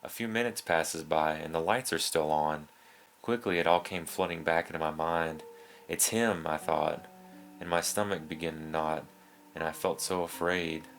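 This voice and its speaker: Male horror narrator, notably deep, measured scripted storytelling over a prominent musical bed. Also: steady tone, a bit bright. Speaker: narrator of creepy stories. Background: guitar music.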